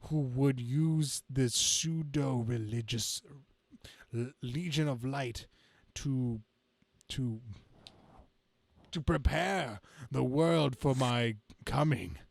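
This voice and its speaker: Man acting out comic-book villain, gloating, grandiose, creaky voice, slow halting delivery. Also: medium pitch, no echo, exaggerated voice. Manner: grandiose, halting monologue with stumbles. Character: gloating male comic-book supervillain scheming against humanity. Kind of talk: dramatic comic reading.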